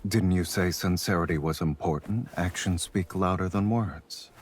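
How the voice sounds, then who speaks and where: A man voicing a confident, teasing champion fighter and lover in a romance game, with a husky voice. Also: low-pitched, normal speed. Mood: flirtatious. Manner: smooth-talking, teasing.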